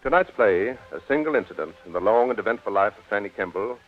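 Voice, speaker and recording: A man's voice: lowish voice, animated, fast. A man announcing a radio play in a scripted 1940s broadcast style. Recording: narrow, tinny 1940 radio recording. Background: faint music.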